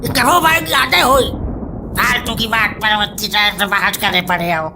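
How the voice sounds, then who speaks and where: A woman playing a stubborn, scolding old grandfather in a cartoon comedy, with a rustic eastern Uttar Pradesh accent and a creaky voice. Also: mid-range voice, normal speed, noisy background, close to an everyday voice. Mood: angry scolding. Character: stubborn, hot-tempered old Brahmin grandfather.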